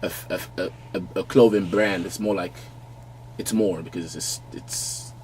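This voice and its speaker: Laid-back low-voiced man. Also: a little hiss or hum. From London, born in Nigeria. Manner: relaxed, halting, many restarts, laughs easily. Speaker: guest fashion designer. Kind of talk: casual podcast chat. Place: small podcast studio with wall panels. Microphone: studio mic on arm, wearing headphones.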